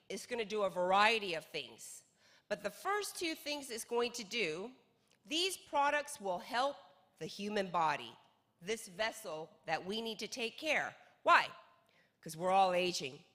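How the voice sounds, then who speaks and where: A woman's voice: mid-range voice, fairly bright tone. A woman raised in North America presenting a network marketing business, emphatic and full of rhetorical questions. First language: English. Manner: emphatic, repeats key points, rhetorical questions. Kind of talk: sales presentation. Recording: long hall reverb.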